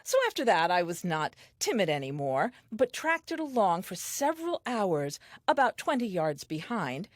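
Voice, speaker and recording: A woman's voice: fairly low pitch, sing-song, moderate pace, hardly any pauses, no echo, studio quality. An actress playing a curious, earnest, newly created first woman, reading her diary aloud with naive, precise self-assurance in an audio play.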